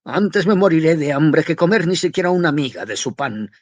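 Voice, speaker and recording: A man doing a shrill hot-tempered young student. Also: expressive, moderate pace, no room sound. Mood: indignant. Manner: strained, high-pitched outburst.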